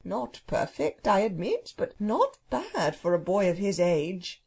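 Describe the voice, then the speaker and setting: Woman voicing a gentle, vain phoenix, softly husky. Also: mid-range voice, big pitch swings, unhurried, exaggerated voice. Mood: condescending approval. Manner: gentle, polite, formal and self-satisfied. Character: gentle, vain, courteous magical phoenix bird. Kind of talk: children's storybook reading.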